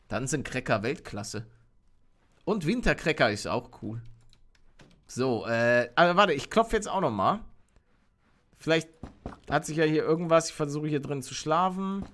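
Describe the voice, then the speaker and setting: A young man streaming a game live, chatting with his viewers and reacting loudly, close to a studio mic at home. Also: mid-range voice. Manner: chatty, reads chat aloud, bursts into excited shouts. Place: home streaming room, indoors. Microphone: studio mic on arm near face.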